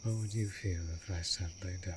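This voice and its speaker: A man voicing a wounded, courtly vampire in an intimate fantasy audio drama, tender and protective, speaking formally and in a hushed way. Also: deep voice, fairly even intonation, a little fast, noisy background, close to an everyday voice. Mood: tender, teasing. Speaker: voice actor in a romantic audio roleplay.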